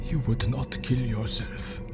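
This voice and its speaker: Solemn older male professor, fatherly and deliberate, creaky voice, audio drama with music underneath. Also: mid-range voice, steady tone. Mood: grave concern. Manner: slow, deliberate, grave.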